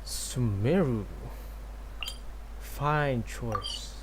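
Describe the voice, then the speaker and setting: A man's voice: medium pitch, drawn-out words, background noise. A man streaming a game with laid-back, casual commentary, reading dialogue aloud.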